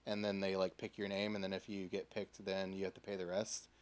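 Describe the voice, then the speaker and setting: Low-voiced man chatting as podcast guest. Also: completely flat delivery, fairly quick, smooth. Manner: informal, peppers talk with "like". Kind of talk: casual podcast chat.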